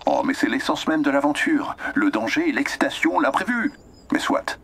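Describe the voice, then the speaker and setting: A man voicing a needy, lonely quest-giving machine in an animated game comedy, with a grandiose, dramatic manner that breaks into whispers. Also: mid-range voice, normal speed, gravelly, husky, exaggerated voice. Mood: theatrical. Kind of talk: French animated comedy acting.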